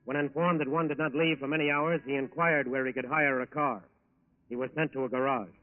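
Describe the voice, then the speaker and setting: A man's voice: mid-range voice, fairly even intonation, fairly quick. A man delivering formal, dramatic scripted narration for a 1940s radio crime show. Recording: muffled old radio broadcast recording.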